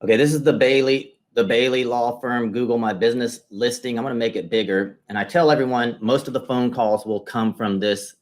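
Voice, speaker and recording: A man's voice: mid-range voice, steady tone, brisk, few pauses. A man interviewing a client and conversationally explaining results on a shared screen, step by step. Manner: explanatory, walks listener through results step by step. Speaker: interviewer and marketing consultant.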